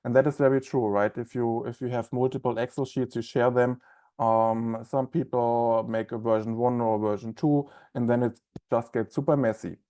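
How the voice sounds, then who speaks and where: A man's voice: medium pitch, level tone, moderate pace, warm tone. A young man with a German accent, presenting a webinar in a loose, conversational way with many ums and uhs. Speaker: onboarding specialist presenting a webinar.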